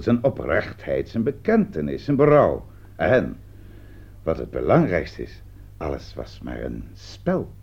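A man's voice: deep voice, breathy edge, heavily acted. A man acting in a radio play as a shrewd, accusing police commissioner, questioning and reasoning methodically and with emphasis. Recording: dull lo-fi radio recording.

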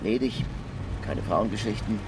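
Matter-of-fact police inspector, street noise. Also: male voice, lowish voice, lively intonation, measured pace. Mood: matter-of-fact, subdued. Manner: matter-of-fact, clipped reporting. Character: dry, learned male police inspector, methodically reporting. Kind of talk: radio crime drama dialogue.

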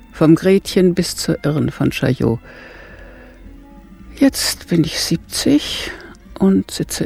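An actress playing a proud retired stage actress of seventy in a radio play, stately and declamatory, with a creaky, husky voice. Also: female voice, deep voice, warm tone, exaggerated voice. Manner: stately, declamatory, self-important. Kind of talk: crime radio play monologue. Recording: faint music behind.

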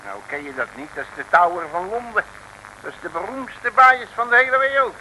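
An actor playing a blustering, grumbling detective in a radio serial, excitable and folksy in his speech. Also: high-pitched, brisk, full character voice, low-quality recording. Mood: wry, confiding. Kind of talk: 1950s-60s radio serial acting. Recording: old radio recording, faint noise.

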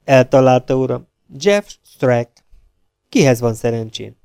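A man's voice: mid-range voice, expressive, gravelly, a little mellow, clear, resonant tone, no room sound, clean studio sound. A man voicing a cocky, wisecracking male private detective in a crime story reading. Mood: wry. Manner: wry, joking.

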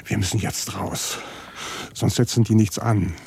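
An actor playing a bitter, evasive contract calf fattener in a radio drama, husky and blunt, with a sardonic, coarse way of talking. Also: male voice, fairly low pitch, steady tone, unhurried, darkish tone, exaggerated voice. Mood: wary, defiant. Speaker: contract livestock farmer. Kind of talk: naturalistic radio drama dialogue.

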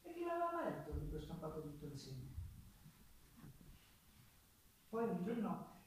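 An actress playing a passionate Sicilian folk singer recalling her life in an earthy, expressive theatrical monologue. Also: low voice, fast, slight background noise. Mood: fond, reminiscing. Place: theatre stage with live guitar. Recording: echoey theatre stage recorded from far off.

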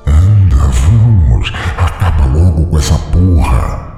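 A man's voice: lively intonation, takes it slowly, dark tone, heavily acted. A man voicing a cruel, taunting female inner demon in a story reading, sneering and mock-sweet. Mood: goading. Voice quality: deep gravelly voice. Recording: echoey reverb effect with music.

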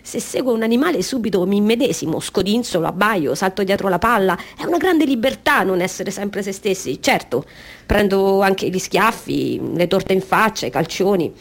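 An actress playing a clumsy, unrestrained Auguste clown, eager and self-deprecating, in a theatrical radio monologue. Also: female voice, mid-range voice, animated, normal speed. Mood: eager, playful. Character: clumsy, unrestrained female Auguste clown pleading her case.

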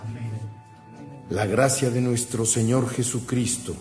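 Radio drama role, male actor: devout, wry Mexican priest posing as a peddler, measured pace. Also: medium pitch, steady tone, a little mellow, soft background music, natural voice. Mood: solemn, devout. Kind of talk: Spanish-language radio drama acting.

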